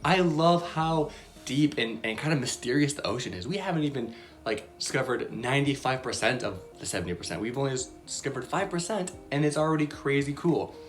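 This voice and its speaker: A woman's voice: a bass voice, lively intonation, a little fast. A woman hosting a casual Bible lesson for young children, chatty and bubbly, with many fillers. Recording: soft background music.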